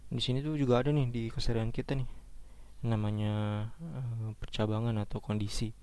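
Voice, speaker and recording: Slightly hoarse man teaching coding, halting. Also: mid-range voice, steady tone, a little mellow. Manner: casual, halting, many fillers and restarts. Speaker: programming lecturer. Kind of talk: screen-recorded coding lesson.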